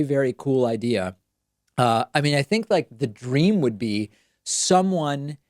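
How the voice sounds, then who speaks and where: Conversational talk show host, dry close sound. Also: male voice, medium pitch, darkish tone, no echo. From Argentina. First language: Spanish. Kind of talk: political talk show monologue.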